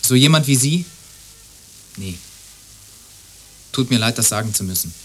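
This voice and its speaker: A courteous male neighbour in a radio play, with a medium-pitched voice. He is earnest and lovelorn, dodging questions and breaking off his own sentences. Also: expressive, a little slow, a bit bright, faint music behind. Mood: wistful, earnest.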